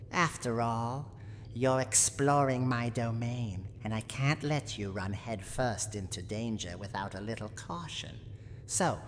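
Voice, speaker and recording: Gruff old granny of a haunted house, teasing, lively intonation, long reverb over noticeable noise. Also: female voice, a bass voice, full character voice. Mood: reflective, fond. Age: older. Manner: menacing yet secretly tender, theatrical. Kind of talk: scripted roleplay ASMR monologue.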